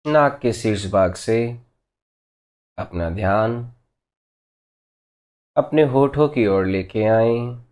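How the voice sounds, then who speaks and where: A man leading a guided meditation as a teacher, giving gentle, unhurried instructions in short phrases with long pauses between them. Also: on the low side, animated.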